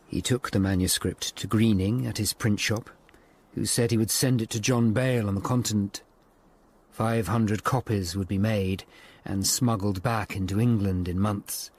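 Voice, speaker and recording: A watchful Tudor lawyer narrating a radio drama. His voice is on the low side. He tells his account gravely and with measured care. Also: darkish tone. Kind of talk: scripted first-person drama narration.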